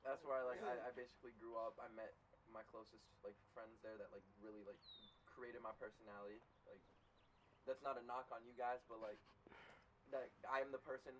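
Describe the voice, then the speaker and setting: A man's voice: mid-range voice, steady tone, a little fast, fairly bright tone, distant mic, slight background noise, low-quality recording. A man of about eighteen, originally from Brazil, chatting casually as a podcast co-host in a rambling, slangy way. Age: around 18. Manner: slangy, rambling, says bro and like a lot. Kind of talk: casual chat.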